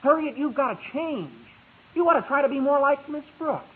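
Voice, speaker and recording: A gawky, eager teenage boy in a fifties radio comedy. The voice is high and squeaky, leaping around in pitch. The recording is narrow and tinny. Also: animated, fairly quick. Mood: bewildered, earnest. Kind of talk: 1950s radio sitcom acting.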